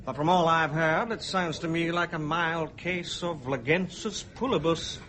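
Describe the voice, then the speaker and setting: An actor playing a dry, self-assured surgeon among ship passengers in a radio drama, speaking with confiding authority. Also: male voice, on the high side, a little slow, a bit bright.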